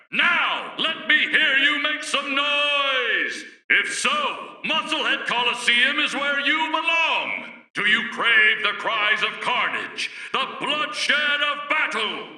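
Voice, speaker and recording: A man's voice: extremely high pitch, sharp tone, echoey room, recorded from a distance, heavily acted, rough recording. A man playing a bombastic arena announcer with grandiose showmanship, hyping bloody battles for a video game. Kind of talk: theatrical announcement.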